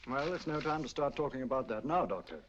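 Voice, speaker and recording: An actor playing a brisk, businesslike police inspector, in 1940s film style. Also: male voice, mid-range voice, expressive, natural voice. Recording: dull lo-fi 1940s film sound with hiss.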